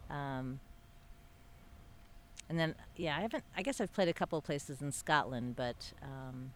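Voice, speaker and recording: A woman's voice: sits low, expressive, a little slow, a little hiss or hum. A woman, a guest on a golf podcast, talking in an easygoing, encouraging way. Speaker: guest, avid golfer. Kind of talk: casual interview answers.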